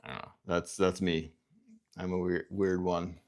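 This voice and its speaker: A man from Canada hosting a livestream, musing aloud in halting, self-interrupting sentences and reading out viewer comments. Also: deep voice, flat delivery, gravelly, a little mellow. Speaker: livestream host. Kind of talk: rambling livestream chat.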